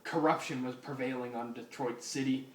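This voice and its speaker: A man's voice: mid-range voice, fairly even intonation, normal speed. A man giving a mock-serious, comedic dramatic reading of a story as its narrator. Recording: echoey room, distant mic, faint hiss.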